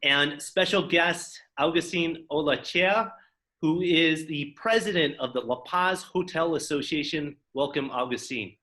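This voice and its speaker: Bright-voiced man hosting an interview. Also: fairly high pitch, a little slow. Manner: friendly, uses fillers. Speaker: interview host. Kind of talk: conversational interview.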